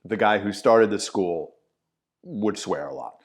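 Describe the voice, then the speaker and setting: A man's voice: lowish voice, measured pace. A middle-aged man, an acting teacher, chatting in a podcast interview and acting out what people said in vivid stories. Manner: animated storyteller, acts out quotes with swearing. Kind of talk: casual conversational interview.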